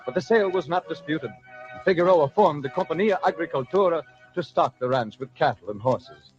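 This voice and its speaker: An actor as the formal narrator of an old-time historical radio drama, reading scripted narration. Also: male voice, on the high side, lively intonation, a little fast, soft background music, close to an everyday voice. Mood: measured, formal. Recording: muffled old radio recording.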